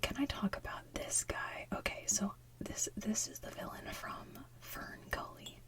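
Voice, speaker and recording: A woman doing casual ASMR chat in a breathy whisper, speaking in an informal, chatty way. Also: a little slow, a bit bright, distant mic. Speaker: ASMR host.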